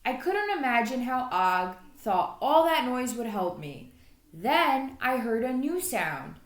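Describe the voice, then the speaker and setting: A woman's voice: on the high side, sing-song, takes it slowly. A woman reading a children's story aloud expressively, like an elementary school teacher. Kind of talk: storybook read-aloud narration. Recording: echoey room.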